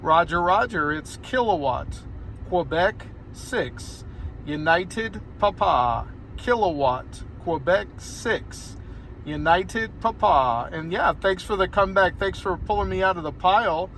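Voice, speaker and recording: A man's voice: sits high, expressive, takes it slowly, a bit bright, a little hiss or hum, low-quality recording. An American man chatting casually as an amateur radio operator, rambling a little as he talks from inside a car. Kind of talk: casual ham radio conversation. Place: inside a parked car outdoors on a hillside.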